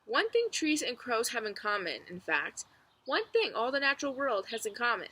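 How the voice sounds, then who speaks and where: A woman reading a children's book aloud as narrator, expressively, with clear storytelling phrasing and emphasis. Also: medium pitch, bright, forceful tone, a little hiss or hum.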